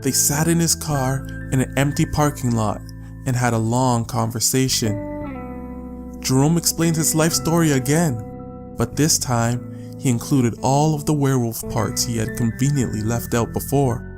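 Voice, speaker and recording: A man's voice: mid-range voice, a little slow, gravelly. A man narrating a scripted audiobook story as the narrator. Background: guitar music.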